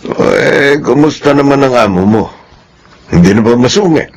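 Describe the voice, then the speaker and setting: A man's voice: medium pitch, lively intonation, moderate pace. An actor playing an ailing, concerned older father in a radio drama, speaking apologetically. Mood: concerned, fond.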